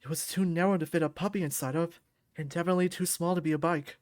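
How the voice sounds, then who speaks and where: A woman's voice: lowish voice, fairly even intonation, fast talker, a little mellow, no echo, studio quality, pitched below the performer's own voice, natural voice. A woman voicing a brooding, guilt-ridden young male fighter in a visual novel, subdued, introspective and bitterly wry. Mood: bitter, wistful.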